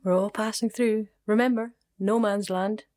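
A woman's voice: mid-range voice, fairly even intonation, normal speed, a little mellow, natural voice. A woman playing a matter-of-fact online meeting participant in a radio play.

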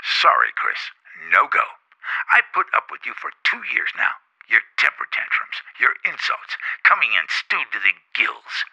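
Curt American executive, vintage radio thriller; exasperated, piercing, creaky voice through telephone filter. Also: male voice, mid-range voice, huge pitch swings, normal speed, bright, forceful tone, full character voice, low-quality recording. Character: curt, fed-up male boss refusing an employee. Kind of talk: 1950s American radio drama acting.

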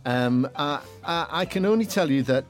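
A man's voice: on the high side, lively intonation, normal speed, soft background music. A man being interviewed, chatting casually in a jokey, hesitant way.

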